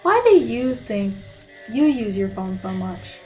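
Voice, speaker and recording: A woman's voice: medium pitch, expressive, unhurried, darkish tone, soft background music, poor audio. A young woman talking casually as a vlogger, chatty and asking her viewers questions. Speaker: student vlogger interviewing friends. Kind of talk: casual vlog narration.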